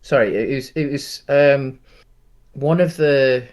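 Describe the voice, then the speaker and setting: Man in casual, halting film chat. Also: medium pitch, a little mellow. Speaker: podcast co-host.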